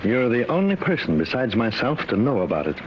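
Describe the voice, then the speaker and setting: Grave, fatherly scientist played by a man, earnest and hesitant, lively intonation, dull vintage radio sound. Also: mid-range voice, fairly quick, natural voice. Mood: earnest, confiding. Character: grave, worried professor inventing time travel, fatherly. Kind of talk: 1950s radio drama acting. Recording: dull, noisy old radio recording.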